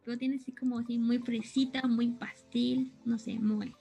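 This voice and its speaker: Young woman, high voice, casual chatty explaining, faint music behind. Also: little pitch movement, normal speed, gravelly, warm tone. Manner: chatty, searches for words, trails off. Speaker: co-host explaining anime terms. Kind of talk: casual chat.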